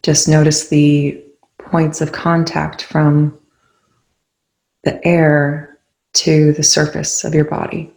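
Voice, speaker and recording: A calm, soothing voice leading a guided meditation in gently spaced phrases. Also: mid-range voice, slow, gravelly, long pauses. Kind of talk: guided meditation, soft instruction. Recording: roomy, echoing indoor sound.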